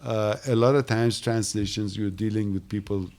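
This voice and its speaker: A man, a translator on a panel, speaking haltingly with many restarts. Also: fairly low pitch, steady tone, darkish tone. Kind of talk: panel discussion.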